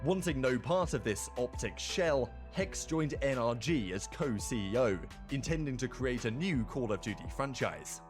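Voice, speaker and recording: A man reading scripted documentary narration in a steady, measured way. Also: mid-range voice, faint music behind.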